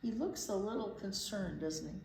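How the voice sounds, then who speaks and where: A woman reading a picture book aloud to young children as a storytime teacher, expressively, with deliberate pauses and little asides. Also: medium pitch, gravelly, darkish tone. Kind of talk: animated picture book read-aloud for preschoolers. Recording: roomy echo, faint background noise.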